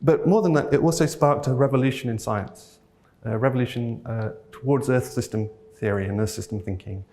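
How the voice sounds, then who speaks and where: A man's voice: medium pitch, brisk, a little hiss or hum. A man from North Wales giving a thoughtful keynote talk, earnest and a little hesitant as he searches for words. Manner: earnest and gently enthusiastic. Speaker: keynote speaker. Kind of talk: reflective conference talk. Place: talk venue, audience present.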